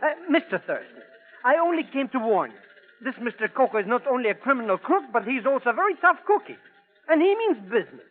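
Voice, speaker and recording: An actor playing a flustered, stammering, broke and cowardly comic sidekick in an old-time radio show. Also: male voice, very high voice, big pitch swings, quick pace, phone-quality sound, faint music behind. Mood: panicky. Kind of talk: old-time radio comedy-drama acting. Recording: thin, band-limited old radio recording.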